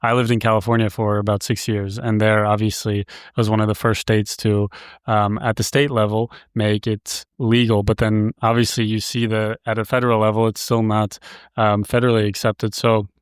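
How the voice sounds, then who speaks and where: A man from Belgium hosting a podcast, asking questions in a measured, hesitant way and often restarting his sentences. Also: on the low side, little pitch movement, clear, resonant tone, no room sound, clean studio sound. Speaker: podcast host. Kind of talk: interview questions.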